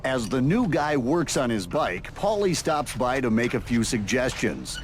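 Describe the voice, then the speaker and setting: An actor narrating a motorcycle reality show game in a dramatic, scripted style. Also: male voice, medium pitch, very animated, unhurried, slight background noise.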